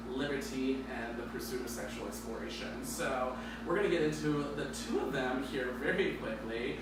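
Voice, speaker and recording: A man's voice: mid-range voice, moderate pace. A man hosting a casual interview show, bubbly and giggly, tripping over names as he goes. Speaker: host. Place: large echoey space by a pool. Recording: echoey and distant with background noise.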